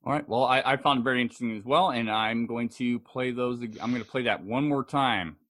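A man's voice: medium pitch, a little fast, fairly bright tone, slight background noise. Guy hosting a laid-back podcast, kinda hesitant, repeats himself, mid-range voice. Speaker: podcast host. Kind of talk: casual podcast discussion introducing audio clips.

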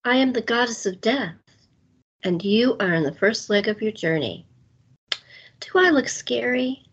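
A woman acts a goddess of death in a fantasy audio drama. Her voice sits a little high and has a husky grain. She is calm and gently amused, speaking with soothing deliberation. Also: a little slow, muffled recording, heavily acted. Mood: calm, reassuring.

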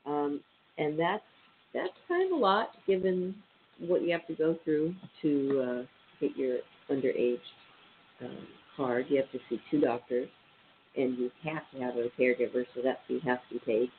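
A man's voice: mid-range voice, moderate pace. A man with a stuffy, nasal voice hosting a casual radio show, reading statistics aloud with many ums and uhs. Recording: tinny phone-line sound with faint hiss.

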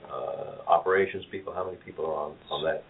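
A man's voice: a bass voice, fairly even intonation, fast talker, husky. A man from New York City with a New York accent, hosting a business interview and asking probing follow-up questions. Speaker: show host. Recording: tinny phone-like audio.

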